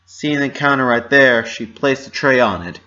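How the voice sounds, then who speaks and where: A man's voice: medium pitch, animated, normal speed, sharp tone, dull audio. A man narrating a story as a scripted dramatic reading.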